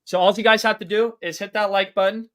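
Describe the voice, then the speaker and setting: Hyped high-voiced man hosting a stream. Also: fast. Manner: energetic, salesy, repeats himself. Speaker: co-host of a car audio livestream. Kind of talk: hyped livestream announcements.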